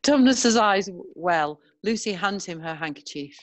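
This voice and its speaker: A woman narrating screenplay stage directions in a measured, descriptive reading style. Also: medium pitch, animated, no room sound.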